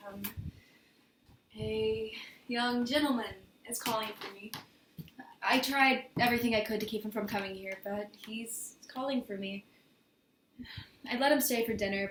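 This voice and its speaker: A high-pitched, earnest young woman in love, played by an amateur actress, stumbles over her words in a flustered stage monologue. She is devoted and sincere. The sound is echoey and roomy, with a faint layer of noise. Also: close to an everyday voice. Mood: nervous, pleading. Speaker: amateur actor performing a monologue.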